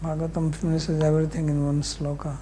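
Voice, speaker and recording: A man with a soft, mellow voice and an Indian accent teaches scripture. He explains bluntly and puts questions back to his students. There is faint noise behind him. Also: a little high, monotone, quick pace. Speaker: spiritual teacher. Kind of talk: scripture class question and answer.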